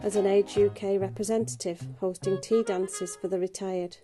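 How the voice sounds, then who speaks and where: A mature woman narrates a documentary in a clear, measured voice. Music plays clearly underneath her. Her pitch sits in an ordinary middle range. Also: fairly even intonation, warm tone. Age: middle-aged. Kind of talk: scripted documentary voice-over. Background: music bed.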